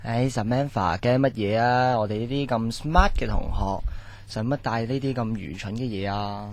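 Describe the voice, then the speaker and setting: A man's voice: mid-range voice, moderate pace, natural voice. A man acting a cocky, dismissive young student in a Cantonese radio drama.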